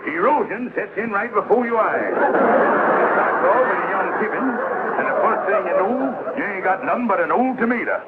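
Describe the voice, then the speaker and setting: Scratchy, tinny recording of an excitable American schemer. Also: male voice, high-pitched, normal speed. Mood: wry, animated. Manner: folksy, ungrammatical, broad comic delivery. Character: excitable, scheming middle-aged man in a radio-era sitcom. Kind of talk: 1950s American sitcom acting. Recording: scratchy, tinny old TV soundtrack, heavy noise.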